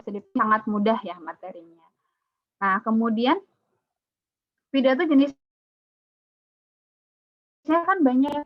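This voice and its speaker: A woman's voice: on the high side, dry recording. Chatty woman lecturer with a light Sundanese accent. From Sundanese region of West Java. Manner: chatty, many fillers and restarts. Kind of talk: online class lecture. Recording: dull, dry lo-fi call audio.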